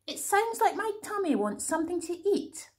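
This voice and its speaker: A woman's voice: extremely high pitch, big pitch swings, moderate pace, darkish tone, voice pushed up. A woman voicing a greedy, mischievous male rhino who has escaped from the zoo, savoring every treat. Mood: greedy, pleased.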